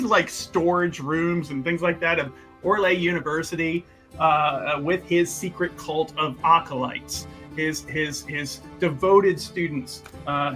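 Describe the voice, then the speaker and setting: A man's voice: on the high side, pushed and bright. A man playing a tabletop game, casually recapping what his character has been up to, often stopping to search for words and restarting.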